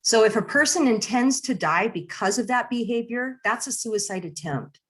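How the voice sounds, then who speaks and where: A woman presenting a webinar over a video call, speaking in a friendly, conversational way with fillers and restarts, her voice slightly hoarse and clearing her throat. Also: fairly high pitch, expressive, normal speed, fairly bright tone. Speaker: nonprofit area director presenting a webinar. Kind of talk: online presentation to attendees.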